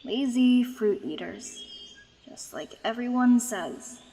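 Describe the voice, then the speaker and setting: A young dragon girl, acted by a woman with a highish voice, proud and hurt. She speaks in a dry, guarded, sarcastic way, as in an animated fantasy drama. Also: slow pace, creaky, a little hiss or hum, heavily acted. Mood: brooding. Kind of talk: dubbed fantasy drama acting.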